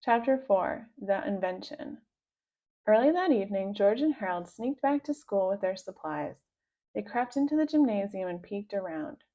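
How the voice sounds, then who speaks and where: A woman reading a children's story aloud in an expressive storytelling style. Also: mid-range voice, normal speed, lo-fi sound. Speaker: storybook reader.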